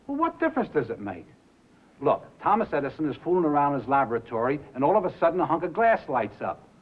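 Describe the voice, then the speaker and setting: An actor playing a cynical, pragmatic radio colleague in a 1950s film drama, matter-of-fact and wisecracking. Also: male voice, on the high side, big pitch swings, fast, breathy edge. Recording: dull old film soundtrack.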